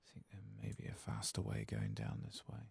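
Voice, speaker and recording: A man giving soft-spoken ASMR commentary on a video game, in a breathy voice that often drops to a whisper. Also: rumbling low, expressive, a little fast.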